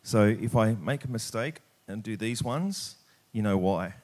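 A man's voice: fairly low pitch, unhurried, darkish tone. A middle-aged Australian man preaching at church in an informal, plain-spoken, conversational way. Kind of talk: church sermon with prayer, scripture reading and casual anecdotes.

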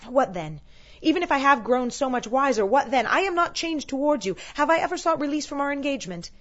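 A woman's voice: high-pitched, expressive, measured pace, dark tone, pitched above the performer's own voice. Woman as man in his prime, defensive, indignant, insistent, storybook dialogue, slightly muffled recording.

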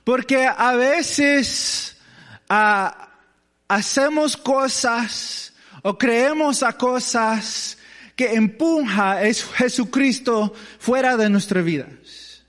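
A man's voice: very high-pitched, drawn-out words, a bit bright, big-room sound. A man preaching as pastor, hesitant and searching for words in a language that is not his first, with as his tongue. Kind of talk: sermon.

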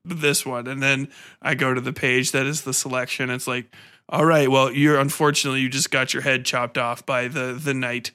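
A man in his thirties with a bright, forward voice hosts a casual interview. He talks informally and wanders into anecdotes as he goes, at a middling pitch. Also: little pitch movement, moderate pace, clean tone. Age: 30s. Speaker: podcast host and interviewer.

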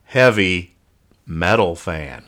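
A man's voice: low voice, expressive, slow pace, a bit bright. A man hosting a casual comedy podcast, over-explaining with mock earnestness and stumbling repetitions. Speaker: podcast host. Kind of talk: casual comic-strip review banter.

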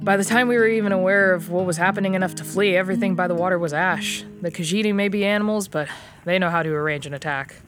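Storybook character: a sardonic, weary male agent with a lifted pitch, read by a man. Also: fast talker, music in the background, natural voice. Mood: grim. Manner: dry, wry. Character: grim, wry male Imperial rival agent.

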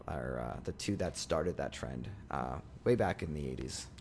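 A man's voice: very deep voice, animated, moderate pace, creaky, a little hiss or hum. A man co-hosting a podcast, chatting casually and rambling with lots of fillers and restarts. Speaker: podcast co-host. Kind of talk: casual chat.

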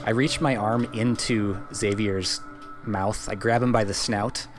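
A man playing an audio role-playing game, improvising in character in a halting way. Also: lowish voice, fairly even intonation, over music.

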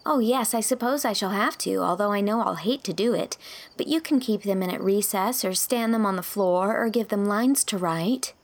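A woman's voice: mid-range voice, moderate pace, close to an everyday voice. A woman voicing an earnest, idealistic young female teacher in an audiobook, speaking emphatically and pleadingly. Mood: firm, idealistic. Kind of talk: audiobook character dialogue.

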